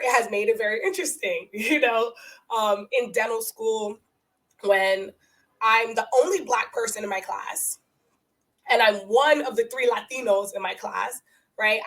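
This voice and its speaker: Lively, laughing woman dentist, higher voice. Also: rough recording. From United States, family from Guatemala. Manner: animated storytelling, laughs often, acts out quoted voices. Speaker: dentist, podcast guest. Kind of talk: casual conversational interview.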